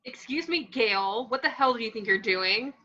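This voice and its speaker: A woman's voice: fairly high pitch, animated, moderate pace, bright, forceful tone. A woman playing an annoyed, jumpy actress in a horror script reading, on lo-fi audio. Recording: dull lo-fi audio.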